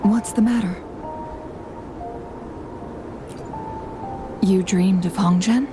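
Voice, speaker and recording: An actress playing a calm, earnest young female companion in a video game, speaking in a measured, formal, soft-spoken way. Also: medium pitch, close to an everyday voice. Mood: thoughtful. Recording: loud background music. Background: game music.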